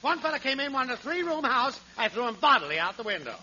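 An actor playing a brash, blustery, wisecracking crooked building contractor in a 1940s radio comedy. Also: male voice, extremely high pitch, sing-song, quick pace, bright, forceful tone, breathy edge, full character voice. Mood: blustery, irritated. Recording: muffled vintage 1940s radio with hiss.

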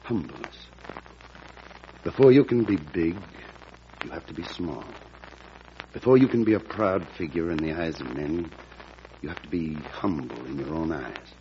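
A gravelly humbled old-time statesman, super low, theatrical radio acting, muffled noisy audio. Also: animated. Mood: humbled, solemn. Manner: theatrical, deliberate oratory. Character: proud, fallen male statesman turned humbled and grave.

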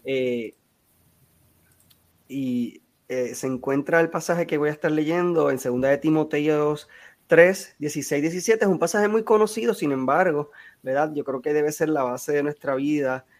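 Hesitant podcast host, slightly high voice. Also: male voice, normal speed. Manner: hesitant, frequent fillers and "¿verdad?". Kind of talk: casual interview.

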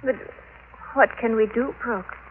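Woman playing a slow-spoken, plaintive lonely spinster. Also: on the high side, close to an everyday voice. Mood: wistful, resigned. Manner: plaintive, hesitant, melodramatic. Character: lonely, lovesick, fretful spinster heiress, pleading and naive. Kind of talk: old-time radio drama acting. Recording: tinny, noisy old radio recording.

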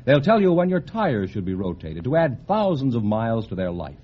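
Very animated, husky American radio pitchman. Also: fairly quick. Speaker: commercial announcer. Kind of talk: scripted 1950s radio advertisement. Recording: dull vintage radio recording.

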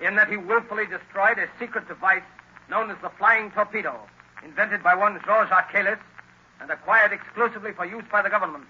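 Dutiful 1930s radio officer: high, husky voice, official and declamatory. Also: male voice, fairly even intonation, fairly quick, sharp tone, exaggerated voice. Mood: official, grave. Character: formal, dutiful military officer announcing orders. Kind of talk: 1930s radio drama acting. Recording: muffled 1930s radio recording.

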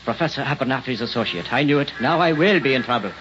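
An actor playing a fretful, gruff but soft-hearted old night watchman in a radio drama, speaking in short clipped phrases. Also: medium pitch, animated, fast talker, lightly acted. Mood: anxious. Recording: muffled, noisy old radio recording.

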